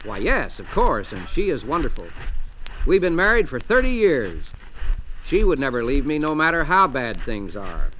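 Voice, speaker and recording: A man's voice: mid-range voice, big pitch swings, moderate pace, a bit bright, slight background noise, poor audio. A man voicing a despondent fifty-two-year-old man in a motivational story, gloomy and sighing. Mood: earnest, reassured. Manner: gloomy, resigned.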